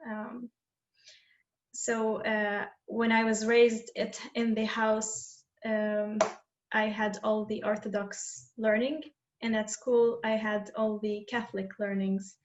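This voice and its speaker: Woman with a flat, halting voice. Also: mid-range voice, reverberant. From Palestine. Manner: thoughtful, halting with fillers. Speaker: panel guest. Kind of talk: panel discussion answer.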